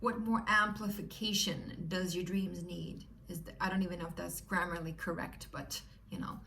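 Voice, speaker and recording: A woman's voice: on the low side, steady tone, normal speed, gravelly, sharp tone, distant mic, a little hiss or hum. A woman from Sweden with a Swedish accent, chatting casually through a tarot reading for her viewers and often asking "you know?" and "right?". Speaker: tarot reader.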